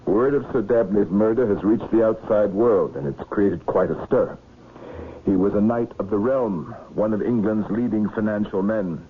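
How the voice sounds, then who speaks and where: An actor playing a stern, no-nonsense police inspector in a 1970s radio drama, measured and matter-of-fact. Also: male voice, lowish voice, breathy edge. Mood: stern, accusing. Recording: muffled vintage radio recording with hiss.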